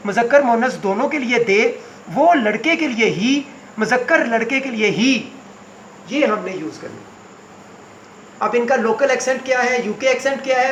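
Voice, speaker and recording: A man teaching spoken English to beginners, going word by word and repeating each meaning slowly. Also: very high-pitched, a bit bright, reverberant, slight background noise, poor audio. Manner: slow, repetitive word-by-word explanation.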